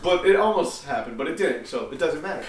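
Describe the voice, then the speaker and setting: High-voiced joking man in an echoey room. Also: expressive, quick pace, crowd noise. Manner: joking, laughs often, mock-reads quotes. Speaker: podcast co-host. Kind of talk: casual chat reading a news article aloud. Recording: echoey room, distant mic.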